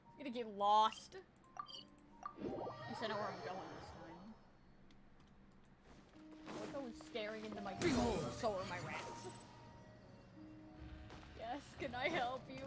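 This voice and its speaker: A woman streams a game and reacts casually as she plays. Her voice is fairly high and her talk is slangy and full of swearing. Loud game music runs underneath. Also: a little slow, pauses often. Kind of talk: casual reaction commentary over gameplay.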